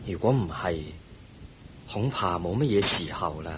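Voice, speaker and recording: Low, unhurried, wise Buddhist teacher. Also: male voice, lively intonation, a bit bright. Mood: calm concern. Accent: Hong Kong Cantonese. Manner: measured, instructive, explaining patiently. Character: the Buddha, male teacher, calm, wise and patient. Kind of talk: Buddhist radio drama acting. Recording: noisy recording with low rumble.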